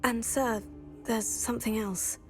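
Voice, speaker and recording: A woman's voice: on the high side, fairly even intonation, unhurried, a little mellow, faint music behind, close to an everyday voice, rough recording. A woman playing an earnest, composed teenage witch student in a video game, speaking politely.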